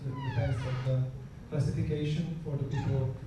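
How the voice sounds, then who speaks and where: Halting Croatian man in an echoey hall. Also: on the high side, huge pitch swings, noisy background. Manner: halting, many fillers and restarts. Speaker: conference presenter. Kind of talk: conference presentation. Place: conference hall. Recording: echoey hall, voice far from mic.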